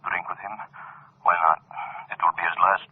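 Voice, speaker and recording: An actor playing a brooding, jealous husband bent on murder, in grim, melodramatic old radio drama style. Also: male voice, deep voice, level tone, normal speed, breathy edge. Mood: brooding, menacing. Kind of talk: 1940s radio acting, inner monologue. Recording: muffled, noisy vintage radio recording.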